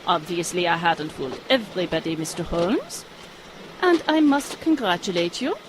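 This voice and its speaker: A woman's voice: fairly low pitch, animated, normal speed, slight background noise, close to an everyday voice, low-quality recording. A woman playing a cunning, composed German spy.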